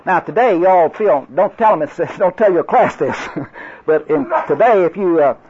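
A man's voice: a little high, expressive, normal speed, noisy background. An older man from Oklahoma with an Oklahoma accent, giving a folksy, joke-filled training talk to class instructors, rambling through anecdotes with many restarts. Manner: storytelling, joking, drawling, rambling restarts. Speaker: evangelistic crusade class instructor. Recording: dull lo-fi recording.

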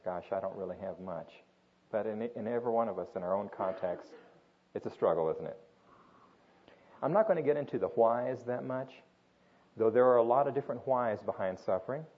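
An older man who grew up in Japan, speaking earnestly at a church gathering in an informal sermon with frequent restarts. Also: fairly low pitch. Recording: dull lo-fi recording.

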